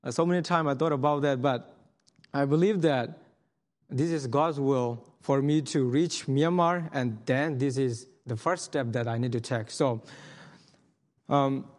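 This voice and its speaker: Earnest man preaching in a hall. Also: mid-range voice. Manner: earnest, halting, many restarts and fillers. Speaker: missionary speaker. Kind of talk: sermon testimony. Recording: long hall reverb.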